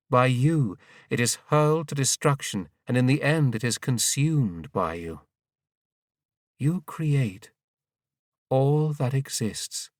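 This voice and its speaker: A man's voice: medium pitch, no echo, studio quality, far from the mic. A man voicing a creator god who prays in awe to a goddess, solemn and incantatory, in an audiobook.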